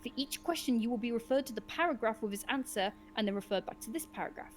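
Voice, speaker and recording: A woman hosting and narrating an interactive gamebook, mixing expressive storybook reading with casual asides. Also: on the high side, quick pace. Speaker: host and narrator of a gamebook session.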